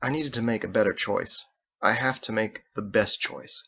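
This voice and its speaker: A man voicing a courteous, resolute paladin leader with formal, measured phrasing, in an illustrated story reading. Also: fairly low pitch, vocal fry, sharp tone, highs cut off, exaggerated voice. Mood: confiding.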